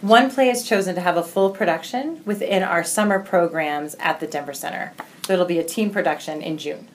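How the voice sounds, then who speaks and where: Lowish-voiced woman explaining a program. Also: brisk, a little hiss or hum. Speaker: playwriting program organizer. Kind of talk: informal interview explanation.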